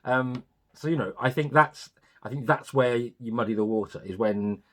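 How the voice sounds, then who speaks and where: A man's voice: medium pitch, normal speed, a bit bright. A man co-hosting a casual film review podcast, giving blunt opinions and swearing freely. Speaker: podcast co-host.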